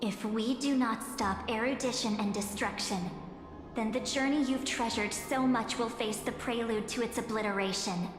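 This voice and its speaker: Woman as mysterious, guarded female messenger, grave and deliberate, reverberant hall sound over music. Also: fairly high pitch, close to an everyday voice. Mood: grave, urgent. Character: solemn, mysterious, guarded female bearer of warnings. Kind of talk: dramatic game cutscene monologue.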